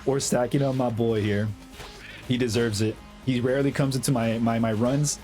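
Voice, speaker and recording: A young man with a slight cold, chatting excitedly over his own gameplay as the host of a gaming channel, full of slang and fillers. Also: mid-range voice, normal speed, soft background music. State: has a cold, slightly hoarse. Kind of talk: casual gameplay commentary.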